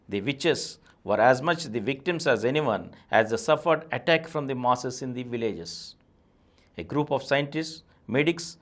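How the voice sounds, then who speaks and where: A middle-aged man with an Indian English accent reads a nonfiction book aloud at a steady, deliberate pace, his eyes on the page. His voice sits in an ordinary mid pitch for a man, close on a lapel mic in a small studio. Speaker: channel host reading a book aloud. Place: small studio with a painted backdrop and plants.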